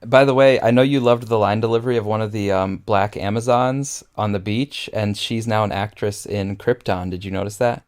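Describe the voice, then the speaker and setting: A man's voice: fairly low pitch, moderate pace, few pauses. A man co-hosting a podcast, analysing film in a casual conversational way. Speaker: podcast co-host.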